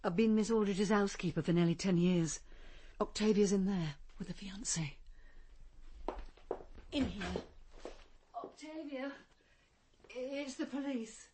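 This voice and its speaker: A woman's voice: medium pitch, unhurried, pauses often, mellow, a little hiss or hum, lightly acted. Nervous housekeeper, acted by a woman. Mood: anxious. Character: nervous, deferential female housekeeper. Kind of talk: radio crime drama acting.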